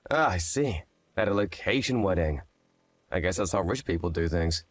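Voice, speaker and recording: A man's voice: low-pitched, expressive, moderate pace, no room sound. A man playing a candid, gossipy, skeptical guy in a scripted story drama. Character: skeptical, gossipy man, old high-school acquaintance.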